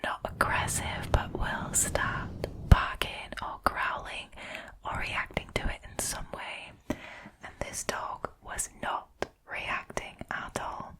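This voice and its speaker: A woman, hosting a scary story channel and retelling paranormal encounters in a soft, steady whisper close to the microphone. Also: poor audio. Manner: soft unvoiced whisper throughout, unhurried.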